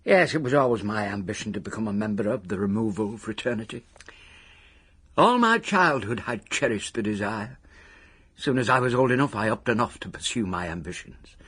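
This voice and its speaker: An actor playing a small, obsessive, retired old removals packer in a radio monologue, husky and wordy, wistful and full of self-importance. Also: male voice, lowish voice, expressive, moderate pace, fairly bright tone. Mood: nostalgic, aggrieved. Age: older. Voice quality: raspy, husky. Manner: wordy, self-important, working-class grammar with grand phrases.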